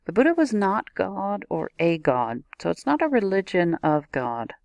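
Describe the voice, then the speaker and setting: A woman's voice: medium pitch, big pitch swings, moderate pace, gravelly, a little mellow, no echo. A woman teaching a recorded video lesson, explaining step by step and speaking directly to the viewer. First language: not a native Japanese speaker.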